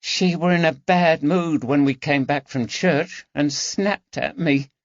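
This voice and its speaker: A man's voice: fairly high pitch, slow pace, no echo, voice pushed up. A man voicing a timid, hesitant nineteen-year-old lady's maid who speaks in plain working-class talk. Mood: anxious. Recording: dull, dry audiobook recording.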